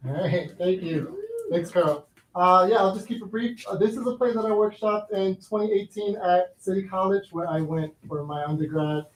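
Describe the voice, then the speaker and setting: A man's voice: high-pitched, fairly even intonation, moderate pace. A man speaking as a playwright at a live staged reading, giving introductions and narrating stage directions. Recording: echoey room with background noise.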